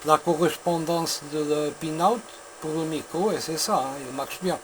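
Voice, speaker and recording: A man with a Portuguese accent, not speaking his native language, chatting as a radio operator in a halting way, searching for words. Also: fairly high pitch, background noise. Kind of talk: radio contact chat, technical talk.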